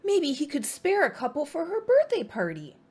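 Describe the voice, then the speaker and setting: A woman reading a children's storybook aloud in an expressive, animated and deliberate storytime style. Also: high voice. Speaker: storybook reader.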